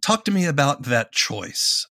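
A man hosting a conversational interview podcast, asking and commenting in a measured, thoughtful way. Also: medium pitch, sing-song, bright, forceful tone, no room sound, clean studio sound.

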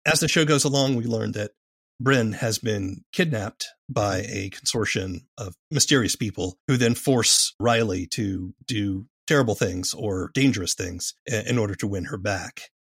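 A man's voice: fairly low pitch, normal speed, vocal fry, husky, dry recording. A man hosting a conversational podcast interview, offering thoughtful observations and questions.

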